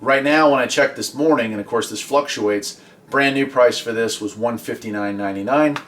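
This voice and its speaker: A man's voice: mid-range voice, normal speed, a bit bright. A man hosting a hobby video, talking casually and explaining things in a conversational product review style, recorded in a garage. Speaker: host of a radio hobby video series. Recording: voice at a distance from the mic.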